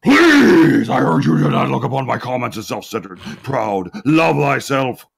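A man voicing a pompous, self-important Dracula-style vampire entertainer in a gravelly, raspy, grandiose drawn-out reading. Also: mid-range voice, big pitch swings, a crowd in the background. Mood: grandiose, insistent. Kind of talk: theatrical dramatic reading of an open letter.

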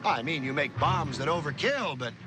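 A man's voice: a little high, animated, moderate pace, natural voice. A man playing a smug blackmailing spy in an old suspense drama, mocking and sardonic with theatrical emphasis. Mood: mocking, confident. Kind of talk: mid-century American TV suspense drama acting. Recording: noisy old TV soundtrack, outdoor engine noise.

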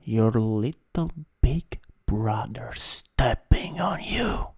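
A man with an Italian accent improvising ASMR as a teasing, domineering older stepbrother, in a halting, intimate, breathy voice that often drops to a whisper. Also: medium pitch, expressive, heavy background noise. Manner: slow, intimate, halting delivery. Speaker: ASMR roleplay performer. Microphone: close USB microphone.

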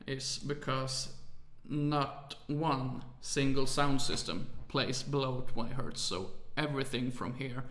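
Hesitant man, gravelly voice. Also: mid-range voice, fairly even intonation, takes it slowly, hardly any pauses, a bit bright, reverberant. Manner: hesitant, many "uh" fillers, apologetic about his English. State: tired, late at night. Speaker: music production tutor. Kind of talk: screen-recorded software tutorial.

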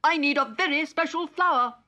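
A woman's voice: brisk, sharp tone. A woman doing an eager little robot boy. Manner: high, eager and pleading. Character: small male robot, eager and excited.

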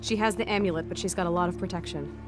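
A woman playing a brisk, assertive young soldier in a video game, clipped and businesslike. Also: fairly low pitch, steady tone, over music, close to an everyday voice. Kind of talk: video game cutscene acting.

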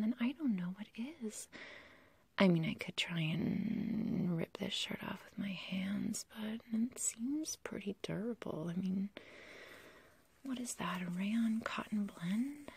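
A woman's voice: mid-range voice, darkish tone, no room sound, exaggerated voice. A woman playing an obsessive, love-crazed young kidnapper in an ASMR roleplay, whispering close to the mic in a rambling, hesitant, sweetly menacing way. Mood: adoring. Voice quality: breathy, whispery. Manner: rambling, hesitant, cooing, giggly. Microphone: close ASMR microphone, dry.

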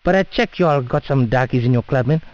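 A man's voice: medium pitch, animated, quick pace. A man with a South African Indian English accent making a prank phone call in cheeky, rambling, slangy chat. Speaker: prank caller. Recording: dull lo-fi phone call audio.

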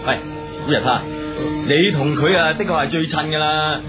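A man's voice: on the high side, normal speed, music in the background, natural voice. A man acting a teasing, boisterous Mongolian drinking companion in a radio drama, exclaiming and drawing out words in a Hong Kong Cantonese accent.

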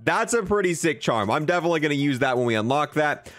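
A man reviews video game items in a fairly high voice with swooping, animated pitch. He talks as an enthusiastic host, tossing in casual slang and bro-style remarks. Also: fast talker. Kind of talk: excited gaming commentary.

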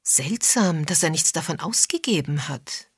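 A woman's voice: sits low, expressive, unhurried, husky, no echo, clean studio sound, exaggerated voice. A woman voicing a tough, blunt woman amateur sleuth, frank and musing, in an audiobook.

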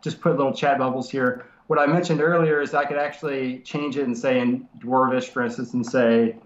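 A man in his thirties with a nasal voice from a cold, explaining software casually over a video call from his home study, with many fillers and restarts. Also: mid-range voice, fairly even intonation, a little fast. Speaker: software developer guest. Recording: roomy lo-fi video call audio.

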